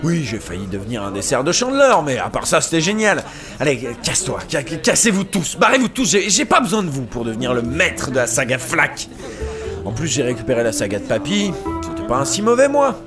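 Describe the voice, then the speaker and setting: An actor playing a vain, petulant start-up boss with a grandiose, whiny manner in a comedic audio drama. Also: male voice, medium pitch, sing-song, fairly quick, lightly acted. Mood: bitter, defiant. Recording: music behind the voice.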